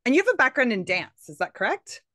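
A woman's voice: fairly high pitch, big pitch swings, fast talker, sharp tone, dry recording, clean studio sound. Earnest woman podcast host. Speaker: podcast host, coach for midlife women. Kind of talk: interview hosting. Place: room with bookshelves, video call.

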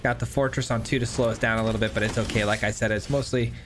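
A man's voice: fairly low pitch, fairly even intonation, fast, fairly bright tone, slight background noise. A young man giving upbeat, chatty commentary over a digital card game, thinking aloud with frequent restarts. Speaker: gameplay commentator. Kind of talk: casual gameplay commentary.

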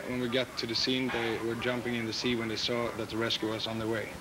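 Flat-voiced ship officer, man. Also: medium pitch, quick pace. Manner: flat, hesitant with many fillers. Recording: noisy outdoor interview.

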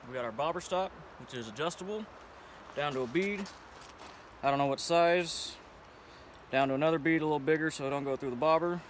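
A young man with a slightly high voice talks through his fishing setup in a relaxed, plainspoken way. He is standing a few feet back from the camera by a river, and steady outdoor noise runs underneath him. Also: brisk, long pauses, far from the mic. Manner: laid-back, plainspoken, slangy. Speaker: fishing vlogger. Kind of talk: casual how-to demonstration. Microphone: camera a few feet away.

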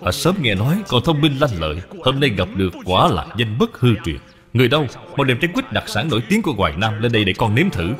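A man's voice: mid-range voice, sing-song, quick pace, a bit bright. A man voicing a dignified, courteous middle-aged lord and father in a children's cartoon, speaking formally and with measure. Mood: genial. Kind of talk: dubbed children's moral cartoon. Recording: long hall reverb, music behind.

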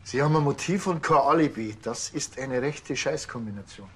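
An actor playing a gruff, sarcastic policeman, in a TV crime comedy. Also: medium pitch, lively intonation, moderate pace, a bit bright. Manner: dry, sarcastic. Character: gruff, sarcastic male small-town policeman. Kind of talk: TV comedy crime series acting.

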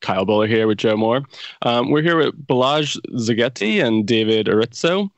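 A man's voice: medium pitch, expressive, normal speed, dry recording, lo-fi sound. A man hosting a podcast, introducing the episode and guests in a casual, upbeat way with many fillers. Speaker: podcast host.

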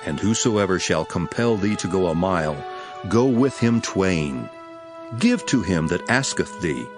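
Authoritative scripture preacher, low-pitched, solemn, declamatory, measured, emphatic, dramatized Bible reading, music underneath. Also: male voice.

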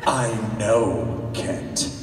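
Man playing calm crime-fighter, low slow measured voice, radio drama, heavy echo. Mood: reassuring. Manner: measured, reassuring. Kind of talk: old-time radio drama acting. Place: on stage in a large convention hall. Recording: echoey convention hall from far off.

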